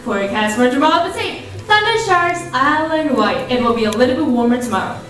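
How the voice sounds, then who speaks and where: A woman's voice: high voice, animated, fast, a bit bright, faint music behind. A young woman playing a weather forecaster, reading a scripted bulletin in a student radio play, recorded in an echoey hall. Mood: bright, presentational. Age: young adult. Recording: very echoey hall, distant mic.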